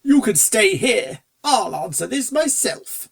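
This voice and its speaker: A reader voicing a genteel, good-natured upper-class gentleman stallion in a storybook, with a posh, dithering, old-fashioned way of talking. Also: medium pitch, big pitch swings, takes it slowly, gravelly, pitched above the performer's own voice, full character voice. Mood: flustered, indulgent. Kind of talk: storybook character dialogue.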